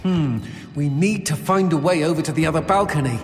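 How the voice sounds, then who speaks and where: A man's voice: a little high, fairly quick, lightly acted. A man playing a chatty, bawdy demon sidekick in a video game, with a theatrical storyteller's flourish. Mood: wry. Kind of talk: video game character acting. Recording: long hall reverb under game music.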